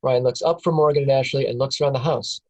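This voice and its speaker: A woman reads a screenplay's stage directions aloud at a casual table read. Her tone is mellow and dark, and she keeps breaking into laughs as she reads. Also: a bass voice, steady tone, fast talker. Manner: laughs often while reading. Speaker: narrator reading stage directions.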